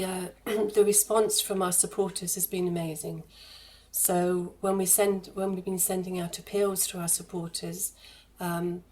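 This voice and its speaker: A woman giving explanatory interview answers as a charity guest, in halting phrases with repeated words. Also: fairly low pitch, darkish tone, slight background noise. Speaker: guest, charity head of fundraising and communications.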